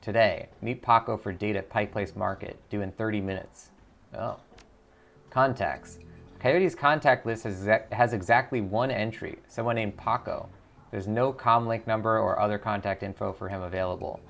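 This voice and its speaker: A man's voice: on the low side, normal speed, creaky, a bit bright. A man hosting a gaming video, casually commenting and reading game text aloud, often muttering to himself as he thinks.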